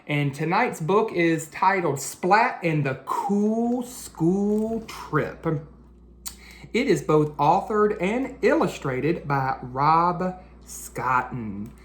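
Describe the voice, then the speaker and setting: Animated, low-voiced woman reading to children. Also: echoey room. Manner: deliberate, engaging, asks listeners questions. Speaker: teacher reading a picture book aloud to children. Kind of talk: animated read-aloud storytelling.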